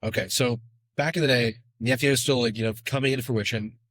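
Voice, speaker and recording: A man speaking as a guest in a casual podcast interview, explaining in a rapid, animated way with quick asides. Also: mid-range voice, no room sound.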